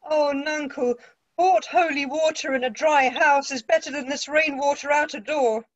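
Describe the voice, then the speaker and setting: A woman reading the part of a quipping male court fool, in brisk riddling patter. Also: high voice, fairly even intonation, no room sound, dull audio, close to an everyday voice. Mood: pleading. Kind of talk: play read-through.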